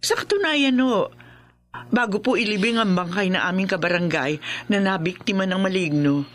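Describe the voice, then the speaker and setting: Concerned Filipino mother played by a woman: mid-pitched, earnest, coaxing, measured radio drama delivery. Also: soft background music, natural voice. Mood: worried, respectful. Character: concerned, respectful mother of a grown married daughter.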